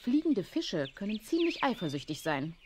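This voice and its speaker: A woman plays a brisk, commanding aunt in a radio play. Her medium-pitched voice is dry and urgent, over faint background noise. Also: big pitch swings, darkish tone, close to an everyday voice. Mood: brisk, wry. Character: brisk, wry adult aunt, tea-shop owner. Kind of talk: German radio play acting.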